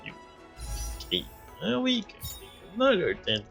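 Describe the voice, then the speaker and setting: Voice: medium pitch, wildly swooping pitch, slow, creaky, a bit bright. A game streamer reacting casually while playing, with murmured asides and game music faintly behind.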